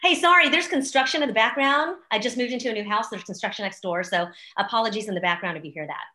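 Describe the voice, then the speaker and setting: A middle-aged woman chatting as an interview guest, an animated, expressive storyteller, recorded in an echoey room at home. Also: a little high, quick pace. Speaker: podcast guest, real estate agent and former news anchor. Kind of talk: casual interview chat. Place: room in a new house.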